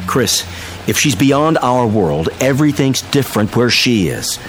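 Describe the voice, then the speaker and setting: An actor in a radio drama playing a level-headed family friend, measured and reasoning. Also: male voice, medium pitch, big pitch swings, slight background noise, low-quality recording. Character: level-headed, rational family friend, a man explaining the inexplicable.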